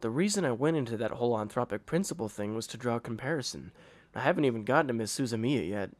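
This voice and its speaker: A man voicing a calm, polite teenage esper boy in an amateur anime fan dub, explaining things in a composed, courteous way. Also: medium pitch, fast talker, no echo, natural voice. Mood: calm, explanatory. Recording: loud music under the voice.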